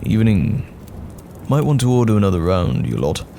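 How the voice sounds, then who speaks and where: A man playing a courteous, guarded, wry wandering knight in a fantasy audio roleplay, speaking in measured, old-fashioned phrases. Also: on the low side, very animated, gravelly, background noise. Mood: weary, civil. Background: music.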